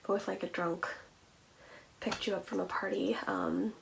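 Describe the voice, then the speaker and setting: A woman with a middle-pitched voice talks casually to her viewers as a video creator. She explains things in an informal, conversational way, in the style of a behind-the-scenes vlog. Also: steady tone, normal speed, vocal fry, a little hiss or hum, rough recording. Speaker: ASMR video creator and vlogger.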